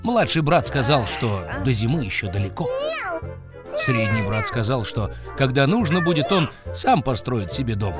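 A storyteller narrating a scripted fairy tale for children in an expressive, dramatic manner. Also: medium pitch, moderate pace. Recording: music behind the voice. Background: music.

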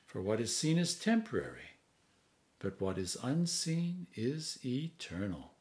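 A man in his sixties, a priest, reading scripture aloud in a measured, solemn manner with deliberate phrasing. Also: mid-range voice, wildly swooping pitch, darkish tone. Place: home study, indoors.

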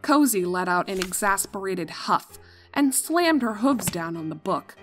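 A woman reading a scripted story as narrator, with a dramatic and expressive delivery. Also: medium pitch, measured pace, soft background music.

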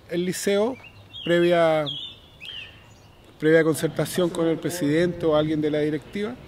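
A middle-aged man with a Chilean accent, describing his production work in an interview. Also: a little high. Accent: Chilean Spanish. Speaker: programme production staff. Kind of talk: documentary interview testimony. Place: garden outdoors, seated interview. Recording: faint noise with birdsong.

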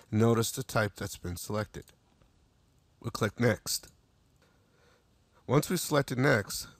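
A man narrating a screen-recorded software tutorial, walking through each step in a steady way with small restarts. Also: fairly low pitch, creaky, breathy edge, dry recording.

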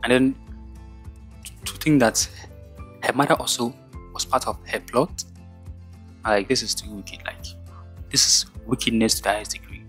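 A man's voice: medium pitch, normal speed, long pauses, fairly bright tone. A man delivers an indignant reaction vlog from a sofa indoors. He repeats himself as he rants. Faint music plays underneath his voice. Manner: indignant, repetitive ranting. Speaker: YouTube commentator.

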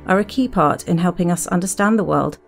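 Low, creaky-voiced woman podcast host. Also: fairly quick, faint music behind. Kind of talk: scripted podcast narration and interview questions.

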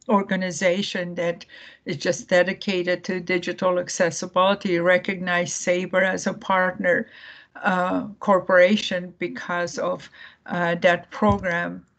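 A woman from Iran giving a presentation over a video call, speaking haltingly with many fillers and restarts. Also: mid-range voice, fairly bright tone. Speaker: guest speaker.

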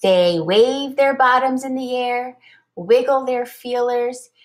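Slow-paced woman reading a children's storybook. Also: a little high. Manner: expressive, deliberate, explaining words to children. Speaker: teacher reading aloud.

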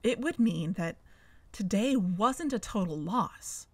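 A woman's voice: mid-range voice, expressive, slow pace, a little mellow, dry recording. A woman playing an earnest, suspicious amateur investigator hosting a podcast in a scripted audio drama. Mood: earnest, deliberate. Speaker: podcast host.

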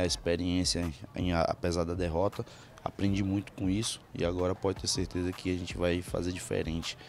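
Young guy hyping his next fight into a handheld mic, pretty deep voice, bit of music behind. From Brazil, Bahia. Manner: confident, hypes himself up. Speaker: MMA fighter. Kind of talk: casual interview. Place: press backdrop indoors. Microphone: handheld mic held by interviewer.

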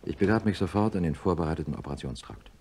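A man playing a sober middle-aged neurosurgeon, narrating a radio drama in a measured, matter-of-fact way. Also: sits low, lively intonation, darkish tone, a little hiss or hum. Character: sober male neurosurgeon professor recounting his experiment.

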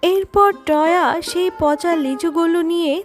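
A woman's voice: extremely high pitch, measured pace. A woman narrator reading a scripted children's story and addressing young viewers.

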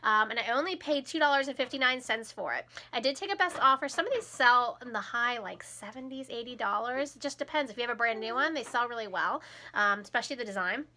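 Upbeat woman in her 30s, high bright voice, a bit froggy from a cold, laughs a lot, talking into a close camera at home. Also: lively intonation, quick pace. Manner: chatty and upbeat, laughs often. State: recovering from a cold, a bit hoarse. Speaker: resale vlogger. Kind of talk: casual chat. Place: small room at home, indoors.

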